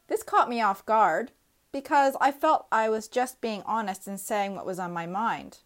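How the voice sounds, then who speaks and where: A woman in her thirties reads a personal essay aloud. Her delivery is earnest and reflective, with measured pacing, and her voice sits at a middle pitch. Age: 30s.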